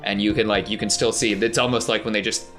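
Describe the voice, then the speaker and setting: Low-voiced man running a tabletop game. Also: fairly even intonation, fast, fairly bright tone, soft background music. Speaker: game master. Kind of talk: narrating a tabletop roleplaying game, casual.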